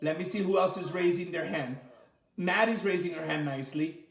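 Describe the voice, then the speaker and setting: Male children's coach, high-pitched, encouraging and simple in his explanations, recorded in an echoey room. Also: creaky. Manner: encouraging, praising, explains slowly and simply with repetition. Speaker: martial arts coach leading children's story time. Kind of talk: interactive children's lesson, chatty.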